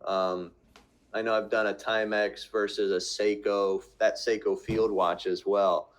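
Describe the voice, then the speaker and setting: Lowish easygoing man from Vermont. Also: flat delivery, unhurried. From central Vermont, US. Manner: easygoing, rambling, with fillers. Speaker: guest. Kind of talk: casual chat.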